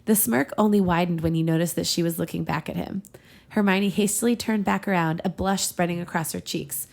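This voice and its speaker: A woman's voice: on the low side, fairly quick, gravelly, a little mellow. A woman co-hosting a podcast, reading fan fiction aloud with casual, giggly banter. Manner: giggly, breaking into laughter mid-reading. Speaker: podcast co-host and reader.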